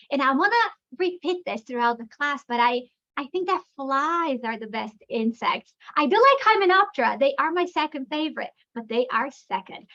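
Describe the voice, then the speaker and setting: A high, bright voice from a woman from Brazil whose first language is Portuguese, delivering a plenary lecture. She talks with eager enthusiasm and restarts her sentences often. The recording sounds dull and lo-fi. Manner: enthusiastic, conversational with frequent restarts. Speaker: plenary speaker. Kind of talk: academic lecture.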